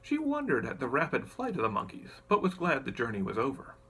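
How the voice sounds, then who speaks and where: A man reading a storybook aloud as scripted narration, in an expressive storytelling manner. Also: mid-range voice, brisk, gravelly, slightly rough. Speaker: audiobook reader.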